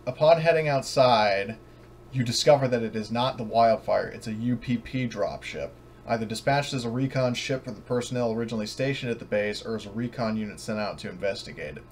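A man narrating an online tabletop roleplaying game as game master, sometimes halting, over voice chat. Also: mid-range voice, a little hiss or hum.

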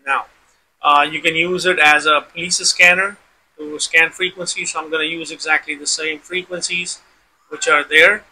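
Man, very bright forceful tone, fairly high pitch, informal tutorial host, step-by-step repetitive explaining. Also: flat delivery, moderate pace, slight background noise, low-quality recording. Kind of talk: informal screen-recorded tech demonstration.

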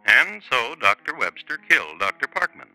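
A man hosting and narrating a 1950s radio true crime show in a deliberate, formal, dramatic storytelling manner. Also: mid-range voice, big pitch swings, sharp tone, dry recording, faint music behind, rough recording. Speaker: host and narrator. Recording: vintage 1950s radio recording.

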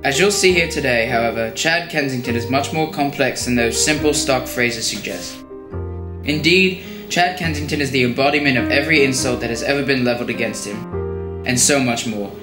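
A man playing a solemn, mock-grand documentary narrator, reading a script in a measured, deadpan way. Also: medium pitch, lightly acted. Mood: solemn, wry. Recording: echoey voice-over over plucked guitar music.

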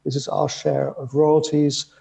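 Older man, mellow slightly gravelly voice. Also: on the high side, completely flat delivery, measured pace. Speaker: biotech chief executive, panelist. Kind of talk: company presentation to an online panel. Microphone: video call microphone.

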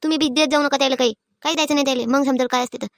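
A woman voicing a sharp-tongued, aggrieved elderly village mother-in-law in a cartoon comedy, scolding and complaining with a rural Maharashtrian accent. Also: high voice, steady tone, creaky, no echo, studio quality. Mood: angry, suspicious. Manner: scolding, complaining, rapid-fire. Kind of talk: animated family comedy acting.

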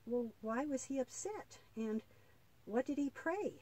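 A woman's voice: sits high, expressive, a little slow, darkish tone. A woman voicing an earnest, doubting young boy in a children's puppet play. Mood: curious.

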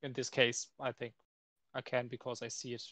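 A man's voice: mid-range voice, level tone, moderate pace, bright, forceful tone, no echo. Dry-sounding man chatting through a game. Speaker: tabletop game player. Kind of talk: casual game talk.

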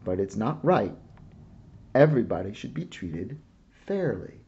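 A man voicing a calm, proud mother in a read-aloud picture book, speaking gently and reassuringly. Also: fairly low pitch, measured pace, gravelly, breathy edge, dull audio, exaggerated voice.

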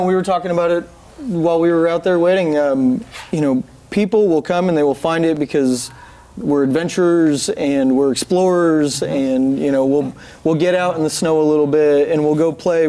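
A man's voice: on the high side, normal speed. Upbeat man guest on a TV studio set. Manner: upbeat, relaxed, with fillers. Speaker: guest, expo founder. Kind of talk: casual TV interview.

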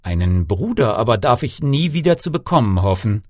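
A man's voice: mid-range voice, big pitch swings, brisk, darkish tone, dry recording, studio quality. A man voicing a devoted, impassioned king in a storybook tale, speaking in a declamatory manner. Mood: earnest, resolute. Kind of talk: storybook character voice.

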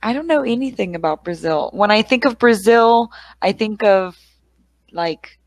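A woman's voice: medium pitch, animated, moderate pace, dry recording. Young Texan woman tutoring on a video call. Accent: Texas. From Texas, United States. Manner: friendly, chatty, with fillers like "like" and "uh". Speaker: English tutor. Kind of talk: casual conversation. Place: small room at home. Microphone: headset mic on a video call.

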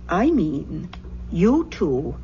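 An actress playing a warm, bustling, brisk Scottish aunt in a 1970s radio drama. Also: female voice, lowish voice, very animated, exaggerated voice. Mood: fussing, reassuring. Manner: motherly, brisk, homely. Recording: dull, noisy old radio broadcast recording.

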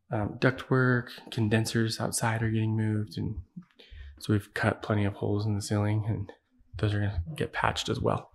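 A man's voice: on the low side, a little mellow. An American man giving a casual, matter-of-fact walkthrough of a building job, explaining as he goes. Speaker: builder presenting a project. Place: indoors, large unfinished basement.

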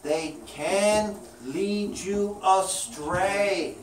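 A woman's voice: mid-range voice, drawn-out words. A woman leading a question-and-answer Bible lesson for young children, repeating her questions and phrases for them. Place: small indoor classroom. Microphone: far camera. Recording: distant camera mic, roomy, background noise.

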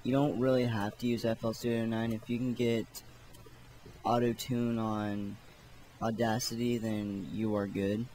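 A man narrating a software tutorial in a casual, offhand, unhurried way. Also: lowish voice, flat delivery, slight background noise. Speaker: tutorial host.

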